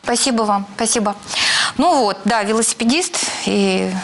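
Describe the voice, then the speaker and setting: A woman's voice: on the high side, normal speed, a crowd in the background. A middle-aged woman hosting a live radio talk show, conversational and polite, putting questions as she goes. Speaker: radio host.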